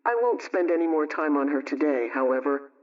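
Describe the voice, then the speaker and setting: A man with a fairly high voice reads a scripted homemaking chat in old-time radio style. He is instructive and conversational, sounding a bit distant on a muffled, lo-fi recording. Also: fairly quick. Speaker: household advice program host.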